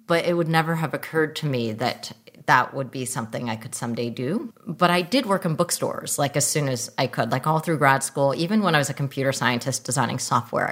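A middle-aged woman, born in the United States with Mandarin as her first language, interviewed as a filmmaker and telling animated anecdotes with laughter. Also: very deep voice. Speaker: filmmaker guest. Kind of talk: interview storytelling.

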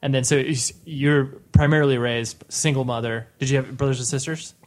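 American man interviewing, medium-pitched voice. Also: steady tone, a little fast. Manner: agreeing often, encouraging interjections. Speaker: podcast interviewer. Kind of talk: casual conversational interview.

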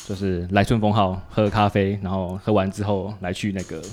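Male podcast host, Taiwanese Mandarin accent, lowish pitch, casual and jokey conversational delivery. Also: level tone, brisk, a little hiss or hum.

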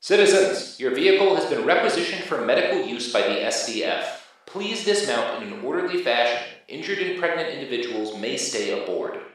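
A man's voice: medium pitch, sing-song, brisk, hardly any pauses, a bit bright, very echoey, far from the mic, pitched above the performer's own voice, low-quality recording. A man voicing a stern militia soldier giving formal, commanding orders as if through a megaphone in an audiobook. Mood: official, commanding.

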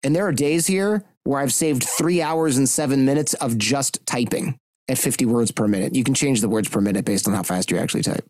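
A man in casual talk, speaking candidly and wandering from point to point. His voice sits at a middle pitch. The sound is dry with no room echo. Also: a little fast, darkish tone. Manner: candid, rambling, lightly profane. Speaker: podcast host. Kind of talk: casual chat.

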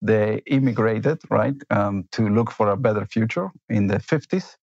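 A man's voice: on the low side, normal speed, creaky, darkish tone, no echo, muffled recording. A man in his fifties, from Argentina and Italy, giving reflective interview answers as a company executive, with frequent fillers and tag questions.

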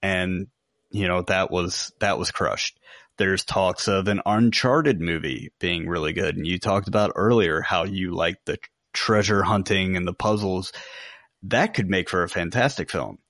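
A man's voice: low-pitched, measured pace, a bit bright, no room sound, clean studio sound. A man co-hosting a podcast and giving his opinions in casual conversation. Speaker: podcast co-host. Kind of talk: casual chat, opinions on games.

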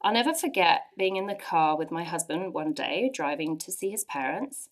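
A woman in her forties with an English accent, earnestly sharing a personal faith testimony with lively emphasis. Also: fairly low pitch, normal speed. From England. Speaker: church speaker sharing testimony.

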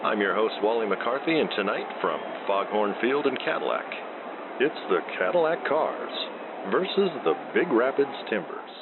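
A man playing an even-keeled, deadpan veteran sportscaster calling minor league baseball on a noisy AM radio broadcast. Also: mid-range voice, moderate pace, phone-quality sound. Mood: calm, deadpan.

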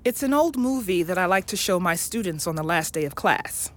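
A woman playing a loving, patient, academic mother in an audio drama, explaining things gently and thoughtfully, with some hesitation. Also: on the low side, animated, a little fast, natural voice. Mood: fond, distracted.

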